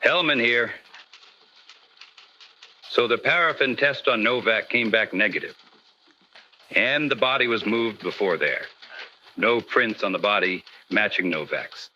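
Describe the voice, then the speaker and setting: An actor playing a gruff, impatient police detective in an old-time radio crime drama, curt and suspicious. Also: male voice, fairly low pitch, lively intonation, unhurried, pauses often, sharp tone, dull audio, a little hiss or hum, exaggerated voice. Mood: curt, businesslike.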